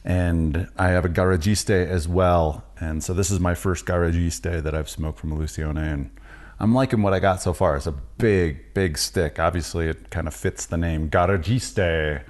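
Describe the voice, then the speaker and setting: A man hosting a casual podcast from a home lounge, relaxed and chatty, asking short follow-up questions. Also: deep voice, moderate pace. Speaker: podcast host.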